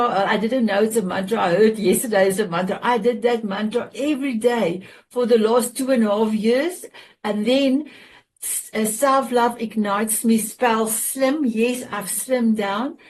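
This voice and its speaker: A middle-aged woman with a South African accent, a native Afrikaans speaker, hosting a group chat and sharing her story in an earnest, halting way with fillers and restarts. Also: a little high, steady tone, darkish tone. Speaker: show host. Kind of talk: casual heartfelt sharing on a video call.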